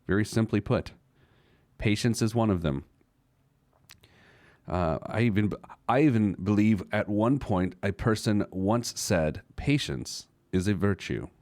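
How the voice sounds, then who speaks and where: A man's voice: on the low side, measured pace, darkish tone, no echo, studio quality. A man hosting a personal finance show in an informal, opinionated way, emphatic, repeating phrases for stress and stopping and restarting between rhetorical questions. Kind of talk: informal opinionated radio talk on money.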